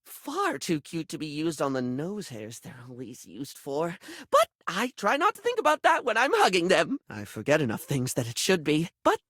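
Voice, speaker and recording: An actor playing a flamboyant, scatterbrained, beauty-obsessed dandy in a video game, with a camp, melodramatic and affected way of talking. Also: male voice, fairly high pitch, wildly swooping pitch, normal speed, a bit bright, dry recording, clean studio sound, full character voice. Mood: flustered, wistful. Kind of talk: expressive video game character acting.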